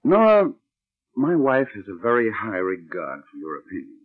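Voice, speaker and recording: An actor playing a scheming, menacing husband in a 1940s radio drama, speaking in an insinuating, emphatic way. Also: male voice, lowish voice, wildly swooping pitch, moderate pace. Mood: smoothly menacing. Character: scheming, menacing husband and would-be playwright, smooth then impatient. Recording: muffled, band-limited old radio recording.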